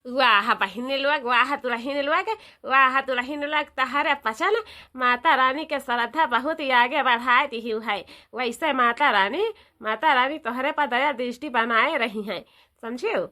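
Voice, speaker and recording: Cartoon village mother-in-law, woman performer: older, devout, chatty, fairly high bright voice, eastern UP accent. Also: measured pace. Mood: pleased, blessing. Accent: eastern Uttar Pradesh. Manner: emphatic, repeats phrases, folksy lecturing. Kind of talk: comedy cartoon dialogue.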